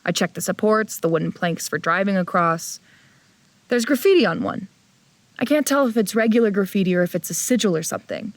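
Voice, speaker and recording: A woman's voice: mid-range voice, animated, brisk, no room sound, close to an everyday voice. A woman playing a blunt, impatient young traveler who narrates an audio drama, dry and irritable. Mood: tense, descriptive. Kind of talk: audio fiction narration and acting.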